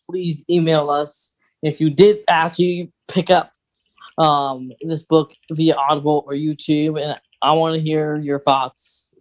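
An American podcast co-host chatting casually in an informal, rambling, conversational way. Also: medium pitch.

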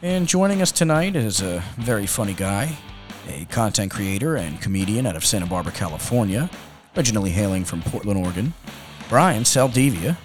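Man, podcast host, low voice, slangy laid-back chat, faint music behind. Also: lively intonation, brisk. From Long Island, New York, United States.

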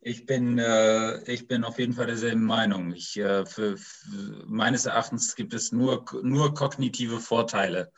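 A man asking questions after a talk, halting and full of restarts. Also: medium pitch, fairly even intonation. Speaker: audience member asking questions. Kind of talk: conversational questions.

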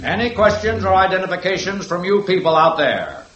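An actor playing a brisk male police sergeant in a 1950s radio drama, giving crisp, matter-of-fact commands. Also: high voice, expressive, fairly bright tone, muffled recording. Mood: commanding. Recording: echoey old radio recording with hiss.

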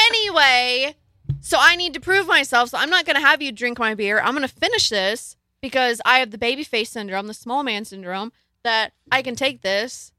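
A woman's voice: on the high side, normal speed, sharp tone. A tipsy woman in her twenties chatting and joking on a podcast, giggling often. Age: 20s. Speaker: podcast guest. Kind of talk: casual podcast chat.

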